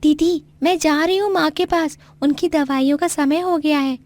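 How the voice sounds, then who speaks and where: A woman voices a helpful young sister in a moral tale. Her voice is high, busy and practical. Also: steady tone, normal speed, a little mellow, natural voice. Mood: busy, brisk. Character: busy, helpful young sister running family food stall. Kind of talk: Hindi moral story voice acting.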